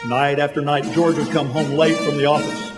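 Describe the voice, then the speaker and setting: An authoritative male heavenly narrator played by an actor tells a story in radio drama style. His voice sits a little high, with clear music underneath and the long reverb of a hall. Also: level tone, fairly quick, lightly acted. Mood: storytelling, solemn. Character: authoritative male heavenly senior angel recounting a life. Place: large church hall. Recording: echoey hall, music behind.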